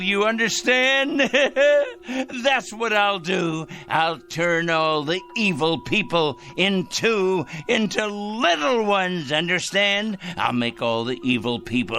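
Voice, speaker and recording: Radio drama villain: a pompous, ranting moral fanatic, wildly swooping pitch, bright forceful edge. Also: male voice, heavily acted. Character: fanatical, self-righteous man obsessed with punishing evil.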